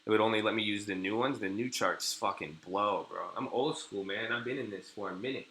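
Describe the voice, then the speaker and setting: A young man from California rambles through a casual live stream, full of crude slang and half-finished sentences. His voice sits very low but sounds very bright and sharp. The sound carries a roomy echo. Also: a little fast. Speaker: day trader streaming live. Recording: echoey room.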